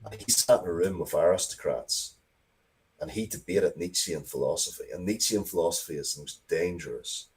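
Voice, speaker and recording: Male interview guest, very deep voice, informal, opinionated, emphatic delivery with repeated words. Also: moderate pace, a little mellow, low-quality recording. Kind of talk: casual, opinionated interview talk.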